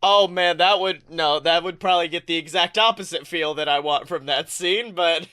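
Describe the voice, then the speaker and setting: A man hosting a comedic podcast with casual, joking, profane banter in an animated manner. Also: on the high side, normal speed. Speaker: podcast host.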